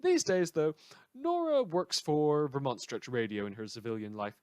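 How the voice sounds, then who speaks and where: A man's voice: a little high, animated, moderate pace, a little mellow, smooth, no echo, clean studio sound, natural voice. A man playing a sardonic, rambling radio news host, delivering satirical scripted news with wry, theatrical asides. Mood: wry, mock-solemn. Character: sardonic, rambling madman community radio newscaster in fiction.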